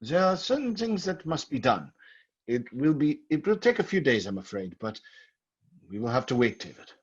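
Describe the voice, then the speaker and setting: Grave occult scholar for vintage radio horror: husky, fretful, deliberate, on a dull lo-fi recording. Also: male voice, medium pitch, sing-song. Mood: solemn. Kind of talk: old-time radio drama acting. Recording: muffled old radio recording.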